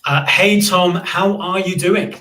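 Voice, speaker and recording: A man's voice: high voice, steady tone, unhurried, pushed and bright, echoey room. A man hosting a live podcast interview, talking casually with many fillers and restarts. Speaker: podcast host.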